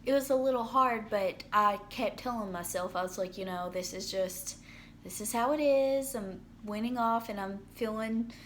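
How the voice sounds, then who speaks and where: Hoarse young woman vlogging casually. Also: mid-range voice, slight background noise. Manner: rambling, lots of ums and restarts. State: hoarse from illness. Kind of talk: casual personal update to camera. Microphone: phone propped up.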